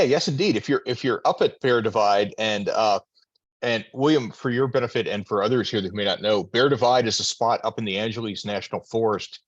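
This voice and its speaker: Husky man on dull call audio. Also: lowish voice, no echo. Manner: rambling, full of fillers and restarts. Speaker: society member presenting. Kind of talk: casual remarks on a video call.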